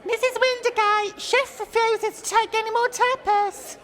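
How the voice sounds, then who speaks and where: A woman's voice: very high-pitched, fairly even intonation, a little slow, a bit bright. A woman playing a guileless young barmaid in a comic radio play. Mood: earnest. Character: giggly, guileless young barmaid serving pub snacks. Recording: hall reverb, crowd behind.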